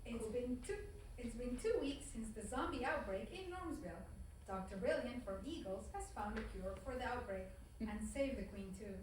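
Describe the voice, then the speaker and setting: A woman's voice: on the high side, expressive, normal speed, close to an everyday voice. A woman playing an earnest television news reporter in a comedic table read, with a newscast delivery. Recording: very echoey room, distant mic, noise.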